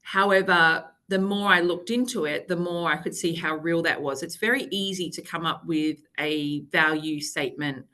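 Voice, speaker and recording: Bright, chatty woman guest. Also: sits low, moderate pace, hardly any pauses. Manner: upbeat, chatty, laughs while talking. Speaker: guest, company executive. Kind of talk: casual interview chat.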